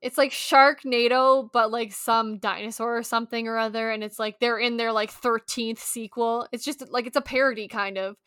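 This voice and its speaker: A woman's voice: on the high side, steady tone, moderate pace, few pauses, sharp tone, clean tone, no room sound, studio quality. A woman co-hosting a podcast, chatting in an informal, rambling, sweary way. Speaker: podcast co-host. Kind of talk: casual chat.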